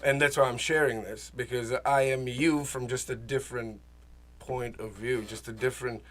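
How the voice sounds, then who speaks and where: A man from the Czech Republic, a native Czech speaker, talking casually as an interview guest, halting, repeating himself and searching for words. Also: medium pitch, steady tone, fairly bright tone. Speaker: interview guest, small business owner. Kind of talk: casual, personal interview talk.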